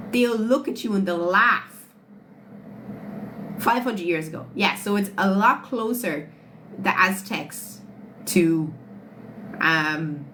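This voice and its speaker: A woman's voice: mid-range voice, a bit bright, poor audio. A woman in her late twenties with an Irish accent, chatting bluntly with viewers on a livestream and swearing freely. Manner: blunt, swears freely, answers chat questions. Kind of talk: casual livestream chat with viewers. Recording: phone livestream with audible background noise.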